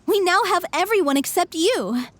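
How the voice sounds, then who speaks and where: A small, chirpy sidekick girl from a video game. The voice is high and eager, with a chatty, curious manner. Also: expressive, normal speed, a bit bright. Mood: upbeat.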